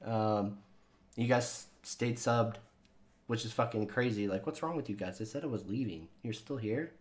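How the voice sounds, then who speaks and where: A man talks straight to his viewers in a loose, meandering way, dropping curse words and ums as he goes. His voice sits a touch low yet still sounds younger than his years, like casual chat into a desk mic. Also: moderate pace. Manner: rambling and profane, with many fillers. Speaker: channel host.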